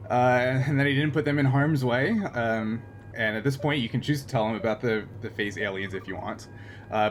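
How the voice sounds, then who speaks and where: A man's voice: medium pitch, slight background noise. A man narrating as game master of a tabletop role-playing game, talking with frequent fillers and restarts. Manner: halting, many fillers and restarts.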